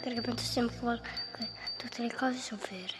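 A young girl recounting events in simple, halting, repetitive phrases during a live radio play. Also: mid-range voice, steady tone. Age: child. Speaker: participant telling what happened. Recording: reverberant hall, faint music. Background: faint music.

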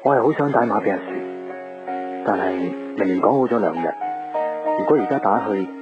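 A man's voice: on the low side, a little fast, natural voice. An actor playing a lovelorn, patient young man, narrating his thoughts with restraint in a radio drama. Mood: brooding, longing. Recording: dull radio recording with music bed.

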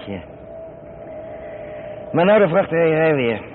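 A man's voice: a little high, sing-song, slow. A man playing a practical, decisive haulage boss in a radio play, firm and good-natured. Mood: businesslike. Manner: firm, matter-of-fact. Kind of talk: Dutch radio play acting. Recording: muffled, hissy old radio recording.

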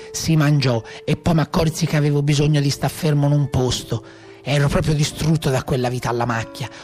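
A man's voice: medium pitch, darkish tone, close to an everyday voice. A man playing a weary, proud old brigand with a Tuscan accent, telling his life in colloquial, reflective theatrical monologue. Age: 60s, as played. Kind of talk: theatrical first-person storytelling.

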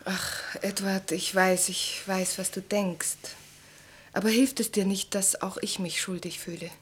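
An actress playing an anxious, self-reproachful, refined wife in a radio play, with a hushed, intimate delivery. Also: female voice, medium pitch, level tone, unhurried, slightly rough. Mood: guilty, pained. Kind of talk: German radio play acting.

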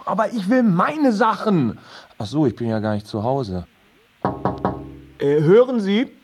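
Ein Schauspieler spielt in einem deutschen Hörspiel einen überreizten, ängstlichen, verträumten Familienvater, der fahrig und weitschweifig redet. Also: male voice, sits high, very animated, a little slow, a little mellow, husky, soft background music, heavily acted. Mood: flustered. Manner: agitated, rambling, apologetic. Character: overwrought, anxious family father and businessman, dreamy, claustrophobic. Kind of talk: German radio drama acting.

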